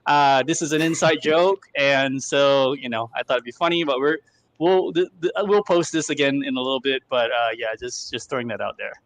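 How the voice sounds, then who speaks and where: A man's voice: mid-range voice, normal speed, a bit bright, rough recording. A man from Singapore with an American accent, co-hosting a casual livestream in a rambling, self-deprecating way, speaking over a video call. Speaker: co-host.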